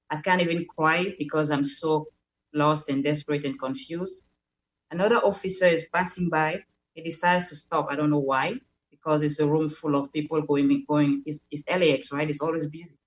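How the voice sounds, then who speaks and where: A woman's voice: low voice, poor audio. A young woman from Cameroon with a Cameroonian French accent, a native French speaker, earnestly telling a personal story as a radio show guest and repeating words as she searches for them.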